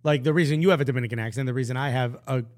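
A man's voice: mid-range voice, racing, darkish tone. A man co-hosting a casual soccer podcast, bantering with jokes and slang. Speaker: podcast co-host.

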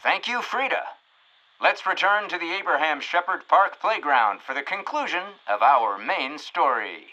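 Bright, punchy radio host. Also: male voice, fairly high pitch, expressive, normal speed, muffled recording. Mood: announcerly. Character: chipper, chatty radio reporter narrator. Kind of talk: mock radio show narration.

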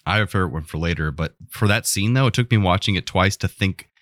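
Deep-voiced man in casual podcast talk. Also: quick pace, fairly bright tone, no room sound, clean studio sound. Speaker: podcast co-host. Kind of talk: casual chat about a TV show.

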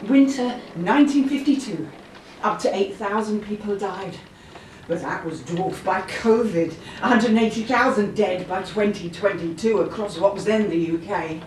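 A woman's voice: mid-range voice, moderate pace, a little mellow, lightly acted. A woman acting an anxious, plaintive seventy-year-old Londoner in an audio play. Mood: reflective, sombre. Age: 70s. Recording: roomy, distant, faint background noise.

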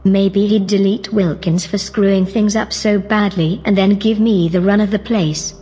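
A woman playing a shrewd, self-assured artificial intelligence in a sci-fi audio drama, with a husky voice and a cool, dry, matter-of-fact delivery. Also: medium pitch, steady tone, moderate pace, warm tone. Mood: wry. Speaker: supporting actor in an audio drama.

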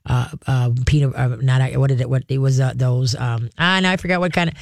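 A woman's voice: a bass voice, fairly even intonation, brisk, vocal fry, dry recording. A woman in her sixties hosting a pet care call-in radio show, talking in a folksy, rambling, chatty way into a studio microphone. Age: 60s.

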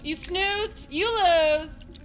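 Piercingly high, brash fighter girl. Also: extremely slow, a bit bright, slight background noise, exaggerated voice. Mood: furious, frantic. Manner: bossy, blunt, aggressive. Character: brash, foul-mouthed, combative young girl fighter. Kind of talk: audio drama acting.

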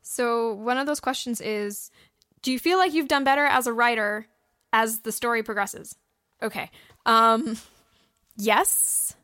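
A woman's voice: fairly high pitch, unhurried, sharp tone, dry recording, studio quality. A young woman co-hosting a podcast, giving casual, earnest and encouraging advice. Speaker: podcast host and audio drama producer. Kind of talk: casual how-to podcast talk.

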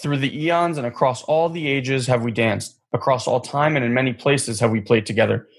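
A man voicing a kind, loving soul in a storybook reading, with a gravelly, husky voice. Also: mid-range voice, normal speed, lightly acted. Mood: tender.

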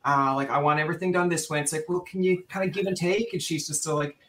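A man's voice: a little high, steady tone, quick pace, a loud crowd. A man from Newfoundland hosting a casual interview, chatty and rambling with frequent restarts. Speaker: podcast host.

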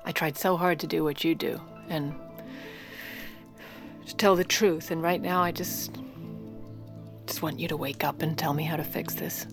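An actress playing a crafty, middle-aged First Lady in an audio drama, brisk and wry. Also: sits low, fairly even intonation, over music. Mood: guilty, pleading. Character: determined, crafty First Lady, secretly running the presidency. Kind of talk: modern audio drama acting and first-person narration.

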